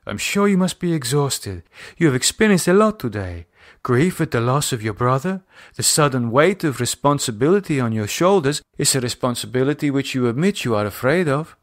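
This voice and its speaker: A man voicing a calm, solicitous foreign woman in an audiobook horror drama, gently commanding, with precise formal phrasing. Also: mid-range voice, brisk, no room sound, studio quality, close to an everyday voice. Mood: soothing, concerned.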